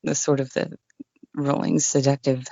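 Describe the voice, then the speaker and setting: A woman with a low voice, chatting casually as an interview guest, relaxed and self-deprecating, on a condenser mic at home. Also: moderate pace, creaky, no echo. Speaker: guest, online radio DJ. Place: home room without acoustic treatment. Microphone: condenser desk mic with pop screen. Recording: dull, dry home recording.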